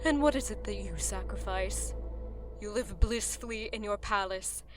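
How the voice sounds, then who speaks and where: A woman's voice: mid-range voice, normal speed, faint music behind, close to an everyday voice. An actress playing a bold, combative woman in an audio drama, with a biting, challenging manner. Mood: accusing.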